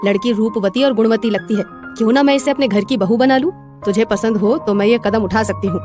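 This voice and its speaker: A woman's voice: fairly high pitch, brisk, music in the background, lightly acted. A woman voicing an anxious, suspicious middle-aged mother-in-law in a dramatic moral story. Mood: thoughtful.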